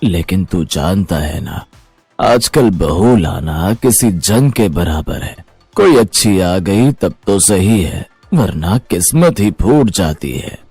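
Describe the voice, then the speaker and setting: A man's voice: low-pitched, lively intonation, takes it slowly, darkish tone, breathy edge, no echo, faint music behind, voice pushed down, heavily acted. A man voicing a demanding old father-in-law, grumbling and bossy, in a cartoon moral story. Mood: boastful. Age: older. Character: demanding old father-in-law, strict, self-satisfied patriarch.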